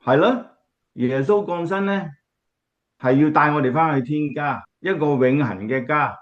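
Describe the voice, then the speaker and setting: A man playing a gentle, devout grandfather who explains faith in a Cantonese radio drama. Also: a little high, a little slow, muffled recording, lightly acted.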